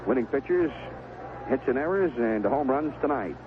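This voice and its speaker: A man giving live 1970s radio play-by-play of a baseball game, describing each pitch in short, clipped phrases. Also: lively intonation, normal speed. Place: outdoor ballpark broadcast booth. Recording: noisy, tinny narrowband vintage radio broadcast.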